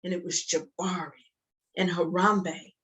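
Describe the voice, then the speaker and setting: A woman reading a story aloud to young children in an expressive, deliberate, interactive storytime style. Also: on the low side. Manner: expressive, deliberate, with acted sound effects and call-and-response.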